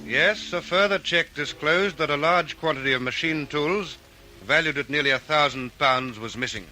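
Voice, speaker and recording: A man acting as the brisk narrator of an Australian radio police serial, with an Australian accent. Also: fairly high pitch, pushed and bright. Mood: crisp, factual. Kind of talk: scripted narration, old radio detective serial.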